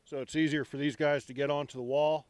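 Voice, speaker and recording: A middle-aged man giving a relaxed walking tour of an outdoor construction site, speaking into a handheld microphone with many ums. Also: on the high side, quick pace, no room sound.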